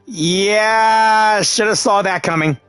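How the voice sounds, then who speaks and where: A man's voice: sits high, slow pace, fairly bright tone, clear, resonant tone, soft background music. A man doing casual game commentary, reading dialogue choices aloud in a laid-back, informal way. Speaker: gaming video creator playing along.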